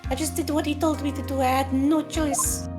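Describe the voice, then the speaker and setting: A woman's voice: high voice, flat delivery, moderate pace, lightly acted. A woman voicing a campy, frightened Frenchman who owns a restaurant, pleading theatrically in a put-on French accent for a video game fan dub. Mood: guilty, confessing. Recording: game music behind the voice.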